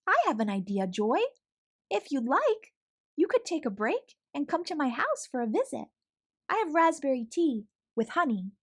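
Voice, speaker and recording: A woman's voice: high voice, big pitch swings, normal speed. A woman voicing a timid little male mouse from a storybook who tries to sound brave and often speaks in questions. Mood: friendly. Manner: rhyming, exclamatory questioning.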